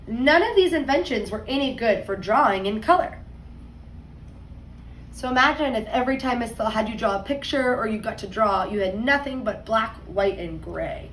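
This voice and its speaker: Echoey, higher-pitched woman reading to young children. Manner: expressive, deliberate storytelling with emphasized words and conversational asides. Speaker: second grade teacher. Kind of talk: picture book read-aloud for young children, with explanatory asides. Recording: roomy echo, distant mic, faint noise.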